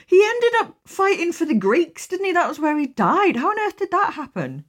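A woman's voice: very high-pitched, huge pitch swings, moderate pace. A woman hosting a casual interview podcast, speaking in an effusive, informal and emphatic way. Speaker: podcast host.

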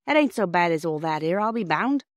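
A woman's voice: on the low side, lively intonation, fairly quick, dry recording, studio quality, close to an everyday voice. A woman voicing a kindly, encouraging engine driver in a storybook, hearty and colloquial, with a working-class English accent. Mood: cheering.

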